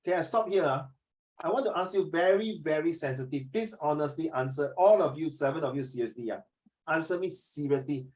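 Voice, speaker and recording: A man with a Singaporean English accent teaching a social studies class, animated and rambling, slipping into Mandarin now and then. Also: on the high side, fairly quick, low-quality recording.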